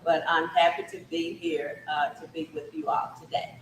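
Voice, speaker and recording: A woman's voice: deep voice, level tone, normal speed, noisy background. Hesitant woman in her sixties, roomy sound. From Mississippi. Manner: plain, hesitant with many fillers. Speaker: interview guest. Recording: echoey room.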